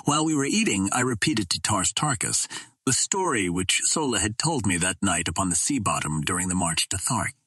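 A man reading a first-person adventure story as a dramatic, expressive audiobook narrator. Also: on the low side, a little fast, husky, no echo, clean studio sound.

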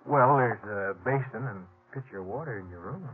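An actor playing an earnest, easygoing young stagecoach station hand in a 1950s radio western, polite and folksy. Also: male voice, fairly low pitch, normal speed, breathy edge. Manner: folksy, polite, drawn into explanations. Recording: tinny, hissy 1950s radio recording.

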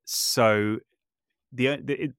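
A man's voice: medium pitch, fairly even intonation, takes it slowly, fairly bright tone, clean tone, no echo, studio quality. A man speaking reflectively as an interview guest, feeling his way through sentences and often rephrasing as he goes. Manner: thoughtful, hesitant, self-correcting with repeated words. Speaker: podcast guest, composer and voice artist.

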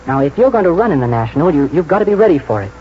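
Keen young English horse lad, high voice, halting colloquial talk, old muffled radio drama with hiss. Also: male voice, very animated. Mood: conspiratorial, earnest. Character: keen, plain-spoken young English stable lad, encouraging. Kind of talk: 1940s radio drama acting. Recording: muffled, noisy 1949 radio broadcast.

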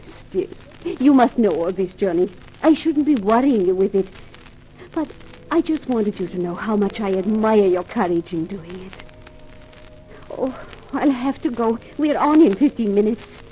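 A woman's voice: medium pitch, expressive, measured pace, warm tone, lightly acted. A woman playing an admiring, flirtatious nightclub performer in a 1950s radio drama, coy and solicitous. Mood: admiring, seductive. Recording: noisy old radio drama recording.

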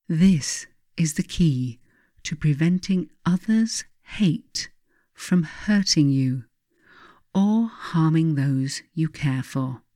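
A woman reading her own spiritual self-help book as scripted narration, speaking deliberately and earnestly straight to the listener. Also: deep voice, warm tone, no echo, clean studio sound.

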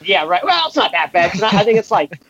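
A man from Massachusetts, a guest telling relaxed, rambling work stories in a casual interview. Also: high voice, fast talker, no room sound, lo-fi sound. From Massachusetts, USA. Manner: laid-back, rambling, many fillers. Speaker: guest, race shop welder.

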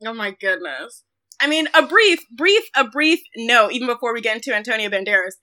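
A woman's voice: a little high, expressive, fairly quick, bright, forceful tone. A woman co-hosting a casual film discussion in a gushing, giggly, conversational way. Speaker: podcast co-host.

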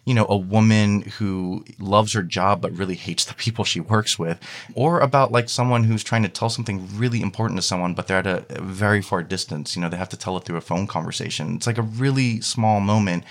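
A man with a very deep voice talks as a guest in a relaxed interview. He explains his work conversationally, often quoting his own thoughts as he goes. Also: brisk. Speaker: guest, fiction podcast creator. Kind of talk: casual interview talk.

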